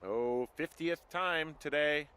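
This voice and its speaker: An actor playing a weary, anxious American fire lookout in a video game, hesitant and exasperated in natural dialogue. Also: male voice, a little high, slow pace, sharp tone, slight background noise. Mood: exasperated, tired.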